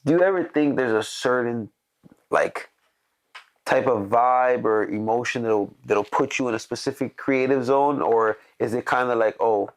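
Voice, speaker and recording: A man's voice: medium pitch, moderate pace. A young man hosting a casual studio interview, easygoing and slangy, padding his questions with "like" and "you know.". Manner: easygoing, slangy, heavy on fillers. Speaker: interview host.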